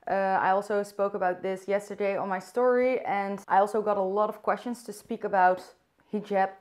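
Young Dutch woman, soft and unscripted. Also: mid-range voice, steady tone, normal speed. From Netherlands. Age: young adult. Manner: soft, thoughtful, searching for words with fillers and restarts. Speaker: vlogger. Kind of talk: unscripted personal vlog. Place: bright room indoors by a window.

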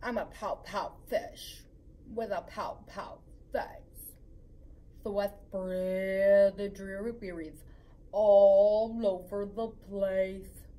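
A woman voicing a glum, gloomy male fish in a storybook reading, talking in a slow, mopey drawl. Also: medium pitch, steady tone, mellow, distant mic, a little hiss or hum, voice pushed down, poor audio.

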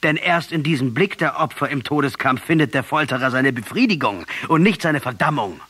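An actor playing a defiant, passionate, sardonic captured guerrilla commander in a German radio drama, combative and mocking, with a husky voice. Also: male voice, medium pitch, animated, a little fast, a bit bright, no echo. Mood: scornful, heated. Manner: combative, mocking, rhetorical, insistent.

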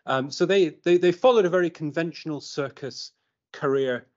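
Halting British male academic, muffled audio. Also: a little high, a little mellow. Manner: halting, often repeating words. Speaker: academic presenter. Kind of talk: informal academic conference presentation. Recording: muffled lo-fi online meeting audio.

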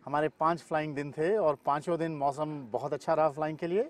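A man's voice: fairly high pitch, fairly even intonation, a little fast. A middle-aged man answering interview questions outdoors, mixing in English terms. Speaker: paragliding pilot and event weather expert, interview guest. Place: open grassy field in the mountains. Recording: clear outdoor ambient noise.

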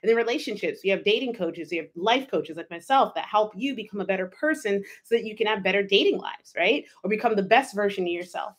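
Animated woman coaching on a podcast. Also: medium pitch, quick pace, hardly any pauses, husky. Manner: animated, emphatic, acts out quoted inner voices. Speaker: life coach and podcast host. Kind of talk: solo podcast monologue. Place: home office. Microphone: studio mic with pop filter, wearing headphones.

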